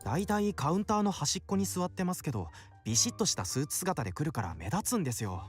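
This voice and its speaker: A man's voice: a little high, very animated, racing, soft background music. An actor playing an eager, boastful young male geek with polite manners, in visual novel style. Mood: eager, smug. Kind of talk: Japanese visual novel voice acting.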